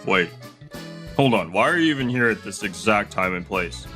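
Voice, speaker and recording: A man's voice: on the low side, animated, moderate pace, sharp tone. A man playing an arrogant, petulant rich heir in a manga dub, brash and dismissive, with music under the voice. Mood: indignant. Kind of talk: dramatic manga dub voice acting.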